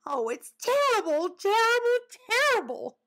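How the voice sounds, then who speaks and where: A woman acts out a talking male woodchuck from a storybook, indignant and easily rattled. The voice sits high and swings widely in pitch. He speaks in a folksy but fussily proper way, with plenty of drama. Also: animated, measured pace. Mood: horrified. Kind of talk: children's storybook character voice.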